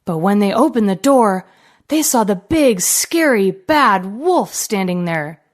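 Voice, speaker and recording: Slow, lively female children's storyteller. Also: medium pitch. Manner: expressive, deliberate storytelling. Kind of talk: scripted narration of a children's fairy tale.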